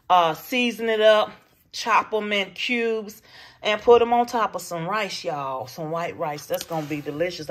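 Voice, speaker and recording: A woman's voice: mid-range voice, a bit bright. A woman vlogger, chatting casually with her viewers about what she bought, recorded on a handheld phone in her home kitchen. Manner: chatty, addresses viewers as "you guys" and "y'all". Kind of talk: casual grocery haul chat. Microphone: phone camera held in hand.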